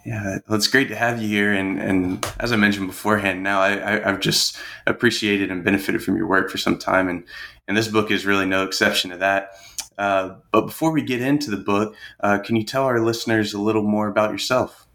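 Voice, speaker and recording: Podcast host man, low voice, friendly, a little stumbling. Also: fairly even intonation, fairly quick, pushed and bright. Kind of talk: podcast interview.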